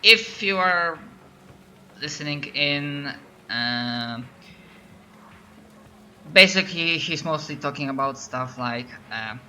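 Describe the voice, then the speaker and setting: A man's voice: on the high side, expressive, takes it slowly, long pauses, bright, forceful tone, slight background noise. A man from Poland with a Polish accent, narrating as game master and often stopping to restart his sentences. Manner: hesitant, lots of restarts.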